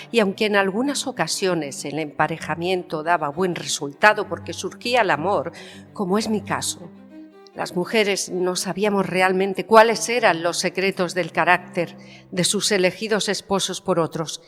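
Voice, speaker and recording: A woman's voice: lowish voice, faint music behind, close to an everyday voice. Actress as proud, wounded Spanish queen in a reverberant hall. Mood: bitter, frank. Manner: formal, measured, pointed. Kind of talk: dramatised historical interview.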